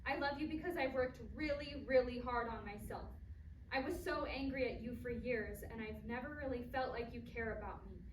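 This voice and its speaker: A woman's voice: a little high, brisk, lightly acted. A woman playing an emphatic adult daughter with long pent-up hurt and anger in a staged play reading. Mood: impassioned, hurt. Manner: emphatic, impassioned. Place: performance space, recorded from afar. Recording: echoey distant stage recording, clear noise.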